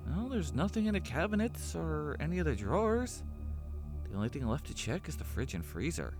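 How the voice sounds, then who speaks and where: A man's voice: fairly high pitch, very animated, fairly quick, over music. A man playing a practical, puzzled young friend in a horror audio drama.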